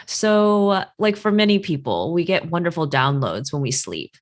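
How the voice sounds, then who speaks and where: A woman whose first language is Chinese, hosting a casual interview and telling stories in an animated way, with many "like" fillers. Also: on the low side, normal speed. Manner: animated storyteller, quotes people in lively voices. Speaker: host, career astrologer.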